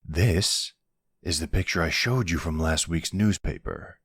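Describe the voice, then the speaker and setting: A man's voice: unhurried, fairly bright tone, no echo, clean studio sound, full character voice. A man playing a weary, exasperated but courteous mafia boss in an ASMR audio roleplay, with wry, colloquial delivery. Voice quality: deep, smooth, gravelly creak. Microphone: close, dry microphone.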